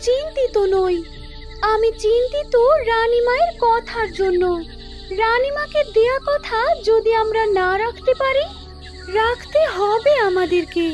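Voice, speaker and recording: A woman's voice: very high-pitched, lively intonation, a little slow, music in the background. A woman voicing a small, brave winged fairy guardian, earnest and protective, in a cartoon fairy tale. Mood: worried, resolute. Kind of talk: animated fairy-tale dialogue.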